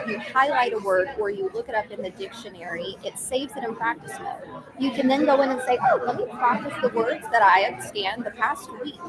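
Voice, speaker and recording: A woman's voice: on the high side, rough recording. Enthusiastic woman guest, upbeat and chatty. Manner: upbeat, enthusiastic, many fillers. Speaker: guest, business development manager. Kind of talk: casual podcast interview.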